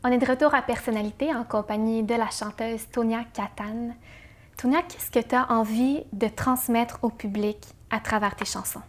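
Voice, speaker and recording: A woman's voice: fairly high pitch, fairly even intonation, moderate pace. A woman hosting a talk show, asking questions and giving brief prompts and affirmations. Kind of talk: interview host questions.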